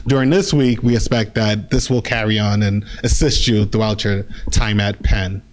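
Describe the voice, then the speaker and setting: A young man, a graduate fellow, addressing incoming students informally, with many halting filler sounds. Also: fairly low pitch, fairly even intonation. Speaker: graduate fellow leading a session.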